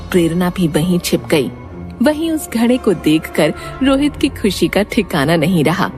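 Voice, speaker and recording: A woman narrating a scripted story in an expressive storytelling manner. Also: on the low side.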